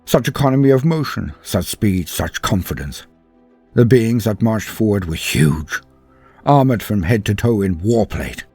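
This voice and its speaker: Male narrator for dramatic fiction: very low, rough-edged voice, grave and theatrical delivery over faint music. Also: animated, a little slow, darkish tone. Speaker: storyteller narrating fan fiction. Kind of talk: dramatic scripted first-person narration.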